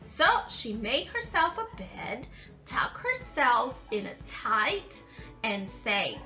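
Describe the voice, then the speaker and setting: A woman's voice: a little high, sing-song, slow pace, sharp tone, faint music behind. A woman teacher reading aloud and instructing young children, addressing them directly and stressing key words. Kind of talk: slow instructional read-aloud with call-and-response prompts.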